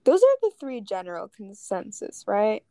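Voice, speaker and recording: A young woman co-hosting a podcast, chatting casually and telling quick stories with asides. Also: medium pitch, wildly swooping pitch, warm tone, dry recording. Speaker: podcast co-host. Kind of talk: casual chat.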